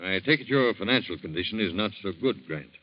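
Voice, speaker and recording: An actor playing a stern police captain in a 1940s radio drama, asking clipped, curt questions. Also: male voice, fairly low pitch, lively intonation, fast talker. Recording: dull old radio recording.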